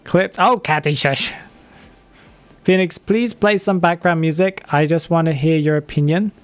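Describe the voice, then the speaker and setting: A man's voice: on the high side, unhurried, faint music behind. A man in his twenties chatting casually on a live game stream, reading chat and laughing often. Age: 20s.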